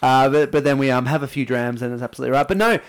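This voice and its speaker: A man's voice: mid-range voice, very fast, slight background noise. A man with an Australian accent co-hosting a podcast, chatting in casual banter with an unforced, conversational voice. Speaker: podcast co-host.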